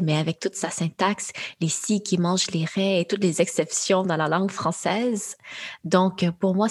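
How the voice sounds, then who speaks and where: A young woman answers questions in a measured, reflective way, often pausing with soft fillers. Her voice sits a little low and carries a faint husky breathiness. Her is Khmer. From Quebec, Canada. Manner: thoughtful, measured, with frequent fillers. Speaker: guest, AI entrepreneur. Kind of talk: interview conversation.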